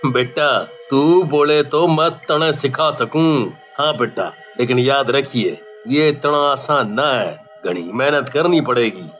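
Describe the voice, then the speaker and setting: A man's voice: mid-range voice, fairly bright tone. An actor with a Haryanvi accent voicing the ghost of a stern but loving wrestler father in a cartoon, speaking firmly and unhurriedly. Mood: firm, fatherly. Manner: firm, unhurried fatherly speech. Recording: slightly muffled lo-fi audio. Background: faint music.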